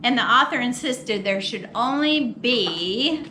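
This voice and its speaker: A woman reading a children's picture book aloud as a storytime host, expressive and theatrical with drawn-out words. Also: a little high, a little hiss or hum. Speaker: storytime host at a public library.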